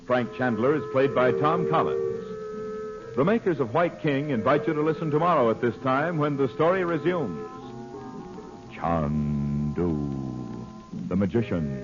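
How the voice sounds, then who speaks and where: A man's voice: mid-range voice, a little slow, music in the background. A man announcing and reading advertising on vintage radio in a polished, emphatic manner. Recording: muffled old radio broadcast.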